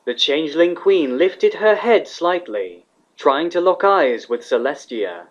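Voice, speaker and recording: A man's voice: fairly high pitch, expressive, measured pace. Male story narrator, slightly muffled. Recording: slightly dull, lo-fi recording.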